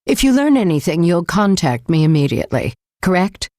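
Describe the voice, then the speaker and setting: A lady prime minister in a game, low voice, swings a lot, grave and commanding. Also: big pitch swings, a little fast, warm tone, no room sound, clean studio sound. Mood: grave, resolute. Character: authoritative, resolute female Prime Minister. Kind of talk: scripted video game dialogue.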